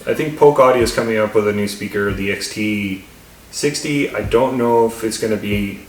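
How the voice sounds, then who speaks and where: A man giving a casual, unscripted gear review as channel host, halting and rambling, often repeating his words. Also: on the low side. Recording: roomy echo, distant mic, faint music.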